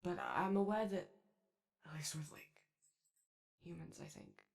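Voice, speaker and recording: A woman's voice: fairly low pitch, unhurried, creaky. A woman voicing an anxious, kind-hearted daycare robot in a hesitant, rambling, apologetic ASMR roleplay. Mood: shy, flustered. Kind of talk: ASMR character roleplay, soft conversational.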